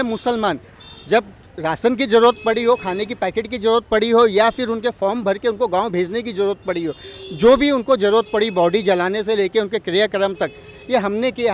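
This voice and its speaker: A man speaks in a high-pitched voice, making his case emphatically in a street interview. He argues through pointed questions, with some traffic noise around him outdoors. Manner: emphatic, argumentative, rhetorical questioning. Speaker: local community representative interviewed on the street. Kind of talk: street interview, opinion on politics.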